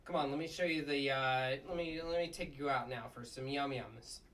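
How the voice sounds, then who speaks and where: A man plays a gruff puppet district attorney in a sitcom table read. He is bossy and blustering, repeats himself as his temper rises, and is heard at a distance from the mic. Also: mid-range voice, moderate pace, a bit bright, lightly acted. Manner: blustering, stumbles and repeats words.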